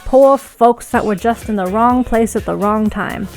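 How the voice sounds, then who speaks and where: A woman's voice: fairly high pitch, unhurried, darkish tone, soft background music. A woman voicing a brash, smitten male rebel radio broadcaster in an audiobook, with theatrical, emphatic delivery. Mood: indignant, excited.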